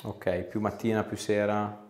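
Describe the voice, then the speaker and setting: A man's voice: lowish voice, little pitch movement, measured pace, darkish tone. A young man working as a chiropractor in a small treatment room, putting short questions and instructions to a patient in a casual consultation. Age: young adult.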